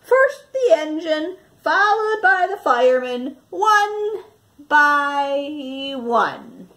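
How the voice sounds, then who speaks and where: A woman with a high voice reads a picture book aloud to children. Her pitch swings in a lively way as she leaves dramatic pauses and puts questions to her young listeners.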